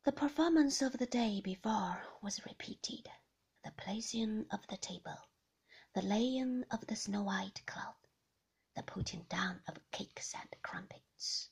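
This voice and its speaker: A woman reads a novel aloud in a hushed, intimate whisper. Her voice is very breathy with a gravelly fry, and she takes her time over every phrase. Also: medium pitch, rough recording. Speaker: narrator. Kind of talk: whispered ASMR audiobook reading.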